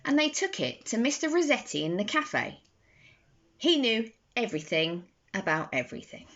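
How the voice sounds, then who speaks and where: A young woman reading a children's bedtime story aloud in an expressive, deliberate way, recorded on a phone in a bedroom. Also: fairly high pitch. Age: young adult. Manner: slow, deliberate, animated phrasing for children. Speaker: storybook reader. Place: bedroom, indoors. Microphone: phone at arm's length. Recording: slightly dull phone recording.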